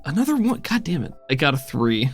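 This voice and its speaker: A man's voice: a little high, big pitch swings, a little fast, soft background music. A man acting as game master of a tabletop role-playing game, talking casually.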